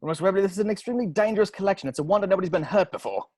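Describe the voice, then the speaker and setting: Uptight police officer, husky voice, very rapid lines, read by a man. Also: high-pitched, expressive, a little mellow, dry recording, studio quality. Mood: stern. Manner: clipped, proper and earnest. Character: stiff, formal adult male police officer. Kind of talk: table read over video call. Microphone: video call.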